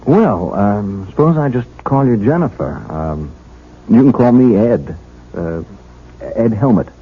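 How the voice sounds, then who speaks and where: An earnest young patrolman in an old radio drama, folksy and halting. His husky voice moves around a lot in pitch, and the broadcast is thin and phone-like. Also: expressive. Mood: bashful. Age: young adult. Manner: hesitant, stammering, folksy. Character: earnest, bashful young patrolman sweet on a troubled woman. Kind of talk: 1970s radio drama acting.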